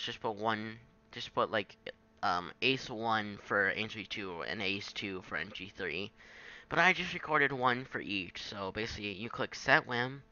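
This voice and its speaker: A man giving a casual, improvised software tutorial in a halting, self-deprecating way on a home microphone. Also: medium pitch, smooth, highs cut off. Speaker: tutorial maker. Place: at a home computer, screen recording.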